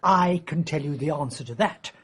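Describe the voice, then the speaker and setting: A woman playing a curious, opinionated, excitable lady in a radio drama, expressive and emphatic. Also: low voice, normal speed, husky. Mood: knowing, disapproving.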